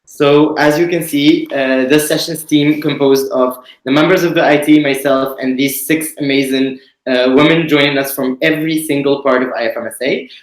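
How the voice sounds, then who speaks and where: A man's voice: medium pitch, fairly even intonation. Man from Tunisia hosting a webinar, roomy sound. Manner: measured, explanatory, frequent pauses. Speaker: webinar host, committee director. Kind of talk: online presentation. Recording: roomy echo.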